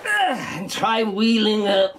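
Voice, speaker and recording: An actor in a radio drama playing a sardonic, battle-worn European refugee with a lame leg, speaking haltingly with mocking formality. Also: male voice, high voice, very animated, noisy background, full character voice. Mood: bitter, feverish.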